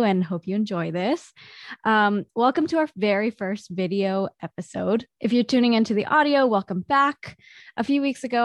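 A woman's voice: mid-range voice, dry recording. An upbeat young woman hosts a podcast interview and chats easily with her guest. Her voice sits in the middle of the usual range for a woman. She is recorded close and dry, with no room sound around her. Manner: upbeat, chatty. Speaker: podcast host.

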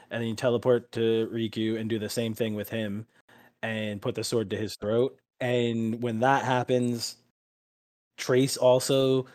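A man's voice: mid-range voice, darkish tone. A man acting as game master, explaining options and narrating a tabletop game in informal, profane talk.

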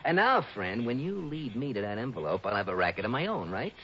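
An actor playing a tough, wisecracking investigator, slangy and punchy, in 1960s radio detective drama style. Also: male voice, mid-range voice, very animated, a little fast, fairly bright tone. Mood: tough, threatening. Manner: hard-boiled, slangy, punchy. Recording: muffled, hissy vintage radio recording.

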